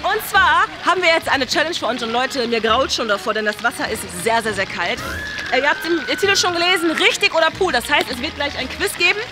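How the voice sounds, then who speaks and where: A woman's voice: high-pitched, expressive, fairly quick, music in the background. A young woman casually presenting a challenge to camera and bantering with a group in a large indoor pool hall. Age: young adult. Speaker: host and quizmaster of a challenge video.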